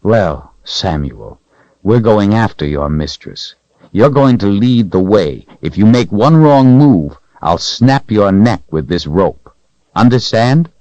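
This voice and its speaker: A man's voice: fairly low pitch, very animated, slow pace, mellow, dry recording, highs cut off. A man reading a stern, threatening swordsman. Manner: firm, threatening questions.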